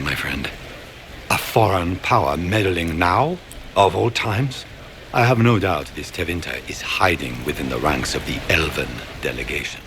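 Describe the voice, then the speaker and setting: A man's voice: low voice, gravelly, noisy background. An actor playing a proud, scheming middle-aged nobleman in a video game, with a grandiose, courtly manner. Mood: suspicious, disdainful. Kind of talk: video game dramatic acting.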